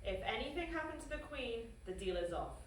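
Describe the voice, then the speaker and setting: A woman's voice: a little high, big pitch swings, fairly quick, far from the mic. A woman reading a suspicious, demanding caller devoted to a queen at a comedy animation table read. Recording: echoey room from afar, faint noise.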